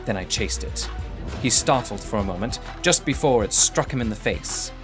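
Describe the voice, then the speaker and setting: A man narrating a first-person adventure story in a measured, formal, literary manner. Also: medium pitch, animated, over music.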